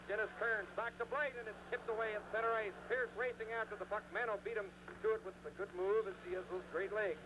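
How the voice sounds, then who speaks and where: A man giving live, excitable play-by-play hockey commentary in an old radio broadcast. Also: sits high. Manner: excitable, rising on scoring chances. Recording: noisy vintage 1970s radio broadcast.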